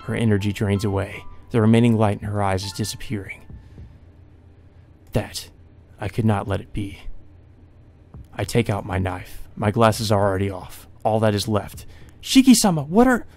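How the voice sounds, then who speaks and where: A low-pitched man reads visual novel narration aloud as a let's play host. He adds his own off-the-cuff reactions as he goes, with music clearly playing behind him. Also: flat delivery, normal speed, pauses often, darkish tone.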